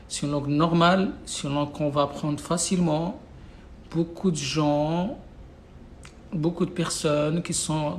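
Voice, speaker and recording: A slightly high, gravelly male voice teaches a live class. He speaks French over Arabic as his first language, with frequent fillers and restarts. Faint noise hums behind him. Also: a little mellow. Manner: repetitive, insistent, many fillers and restarts. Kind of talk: live online class, casual teaching talk. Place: away from home, no whiteboard.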